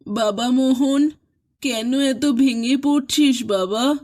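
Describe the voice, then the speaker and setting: A woman plays an elderly mother in an animated horror tale, tender and worried for her grown son. Her voice is high and she speaks slowly, pleading and soothing as she goes. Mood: soothing, concerned. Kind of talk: animated horror story voice acting.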